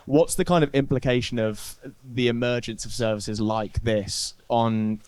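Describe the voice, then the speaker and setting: A man's voice: medium pitch, fairly even intonation, a little slow, darkish tone, clean tone, no echo. Man asking hesitant questions, dry sound. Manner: hesitant questions with many fillers. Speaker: podcast host. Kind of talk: conversational podcast interview.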